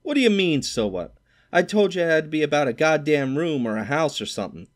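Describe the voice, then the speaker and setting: A man voicing a cocky, irritable teenage boy roommate who swears and scolds loudly. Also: on the low side, lively intonation, fast talker, natural voice. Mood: exasperated. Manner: loud, exasperated, swearing.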